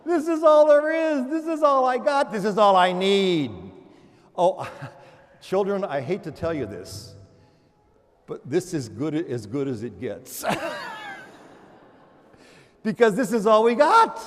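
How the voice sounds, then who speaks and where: A man giving a homily as a priest, animated, moving between loud exclamations and hushed murmurs, often repeating words and restarting in a conversational way. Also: sits high. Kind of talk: sermon. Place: large church. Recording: long church reverb.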